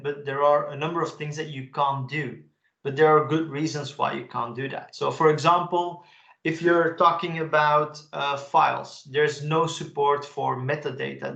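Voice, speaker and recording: Man, gravelly voice, casual software walkthrough, roomy echo. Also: a little high. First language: Dutch. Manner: casual, enthusiastic, frequent "uh" fillers. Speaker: webinar presenter. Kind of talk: live software demo walkthrough.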